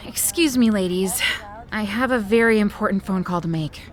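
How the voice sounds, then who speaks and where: A woman playing an anxious, overthinking woman with a fretful, deliberate manner in an audio drama. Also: medium pitch, close to an everyday voice. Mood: resolved. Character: anxious, overthinking woman hesitant about marriage.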